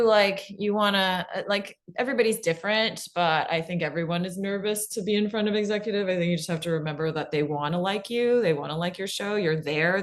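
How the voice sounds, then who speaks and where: A woman presenter speaks informally to a workshop, with a voice a little lower than average. She is encouraging as she takes questions, and her sentences are peppered with "like" and checking "right?" tags. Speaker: presenter answering audience questions.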